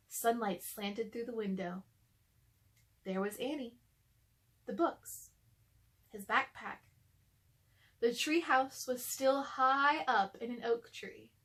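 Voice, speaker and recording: A woman's voice: fairly high pitch, a bit bright, low-quality recording. A woman hosting a children's read-aloud, narrating a storybook expressively.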